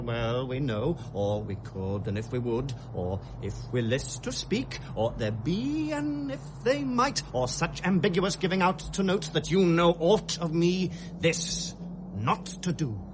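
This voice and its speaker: An actor playing a feverish, grieving young prince with a British accent, in a classical Shakespearean radio drama, with theatrical pauses. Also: male voice, fairly high pitch. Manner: volatile, theatrical delivery with rhetorical pauses. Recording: noisy old radio drama recording.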